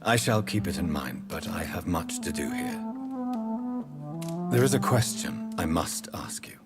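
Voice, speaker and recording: Game role: earnest vampire gentleman, low voice, widely swinging intonation, formal diction, score playing behind. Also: big pitch swings, measured pace, over loud music, exaggerated voice. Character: courteous, earnest gentleman vampire, newly turned investigator. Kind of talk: dramatic video game acting.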